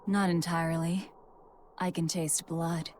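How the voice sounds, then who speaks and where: An actress playing a cold, guarded young female demon fighter with a curt, deadpan manner in a video game. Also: fairly low pitch, unhurried, a little hiss or hum, lightly acted. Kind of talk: English video game voice acting.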